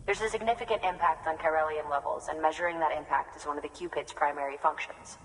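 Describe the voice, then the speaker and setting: An actress playing a composed female scientist who gives measured briefings in a video game. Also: low-pitched, fairly even intonation, natural voice. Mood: composed, explanatory. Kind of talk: video game cutscene acting. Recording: long reverb, faint noise.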